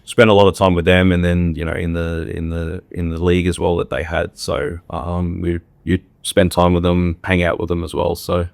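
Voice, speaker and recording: Low, flat-voiced reflective man. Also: moderate pace, gravelly, few pauses, no echo. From Australia. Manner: reflective, many fillers and restarts. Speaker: podcast guest. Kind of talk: casual interview talk.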